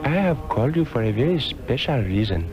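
A man's voice: medium pitch, big pitch swings, a little fast, close to an everyday voice. An actor playing an aged, weary mystic master in a 1960s radio drama, solemn and fatherly in formal archaic phrasing. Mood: grave, fatherly. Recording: muffled old radio drama, music behind.

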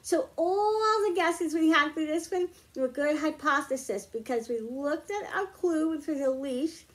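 A middle-aged woman reading picture books aloud to young children, explaining slowly and clearly at home. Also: high-pitched. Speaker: children's librarian. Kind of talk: storytime read-aloud for kids.

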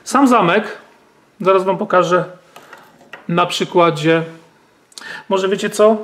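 High-voiced man explaining gun parts. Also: slow, fairly bright tone. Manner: explanatory, frequent fillers and tag questions. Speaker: gun channel host. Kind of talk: hands-on firearm demonstration.

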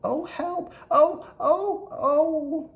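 A narrator voicing a timid, squeaky little female country mouse from a children's storybook. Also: steady tone, a little mellow, lo-fi sound, heavily acted. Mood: frightened. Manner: gasping, timid, drawn-out cries.

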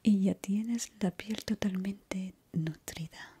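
Whispering young woman, soft and breathy. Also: medium pitch. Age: young adult. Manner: gentle, unhurried, soothing. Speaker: ASMR roleplayer playing a barber. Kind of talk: ASMR roleplay, personal attention. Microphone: close ASMR microphone.

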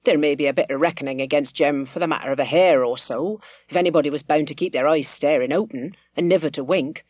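Rustic male innkeeper, read by a female narrator: low, firm, plain-spoken, calming, classic audiobook. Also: fast talker, no room sound. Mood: reasoning. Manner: conciliatory yet firm, rustic phrasing. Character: blunt, steady male village innkeeper taking charge.

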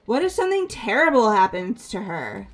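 A woman's voice: medium pitch, wildly swooping pitch, normal speed. A woman voicing an impulsive, emotional, hot-headed teenage girl in a video game. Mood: outraged. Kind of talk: amateur video game voice-over. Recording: background music throughout.

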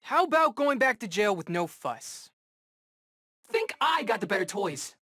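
An actor playing a cocky, eager young rookie superhero in a video game, bantering in casual, wisecracking slang. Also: male voice, sits high, very animated, a little slow, bright, forceful tone, exaggerated voice. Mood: confident, taunting. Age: young adult.

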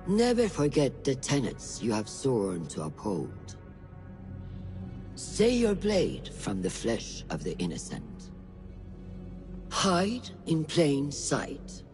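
A woman's voice: extremely low pitch, huge pitch swings, slow pace, heavily acted. A middle-aged woman playing a stern, solemn female master of an assassin order in a game, with a ceremonial, commanding manner. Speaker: voice actor. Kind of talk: dramatic game cutscene acting. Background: music.

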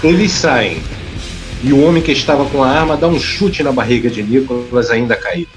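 A man's voice: medium pitch, expressive, normal speed, music in the background. A man reading scripted narration for an audio drama, describing scenes as narrator.

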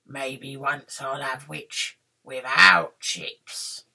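Theatrical storybook dragon, animated. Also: female voice, rumbling low, gravelly, husky, pitched below the performer's own voice, heavily acted. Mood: menacing. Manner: slow, menacing, then spluttering when scared. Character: mean, greedy, scary dragon turned cowardly. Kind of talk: children's picture-book read-aloud.

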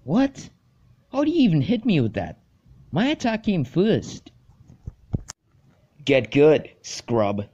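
A man's voice: mid-range voice, lively intonation, takes it slowly, long pauses, lo-fi sound, slight background noise. A man voicing a brash young male action hero in an amateur cartoon. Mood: cocky, bantering. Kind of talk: amateur animated cartoon voice acting.

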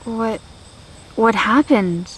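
An actress playing a gentle fifteen-year-old girl, a soldier's daughter, in an audio drama, hesitant and questioning. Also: a little high, very slow, husky, full character voice. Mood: concerned, tentative. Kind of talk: naturalistic audio drama acting. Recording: steady outdoor night-insect noise.

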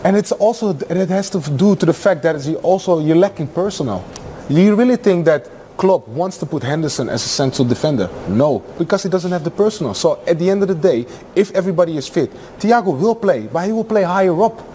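A man's voice: sits high, lively intonation, fairly quick, mellow, a little hiss or hum. A man who is a football pundit, breaking down tactics in a TV studio on a lapel mic, emphatic and prone to repeating himself. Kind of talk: studio match analysis.

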